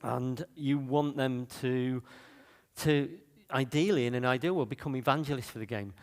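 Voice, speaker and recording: A man's voice: mid-range voice, normal speed. A man from Manchester with a Mancunian accent, giving a chatty conference talk full of fillers and restarts. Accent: Mancunian English. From Manchester, England. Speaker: conference speaker. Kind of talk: conference talk on game marketing. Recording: long reverb of a hall.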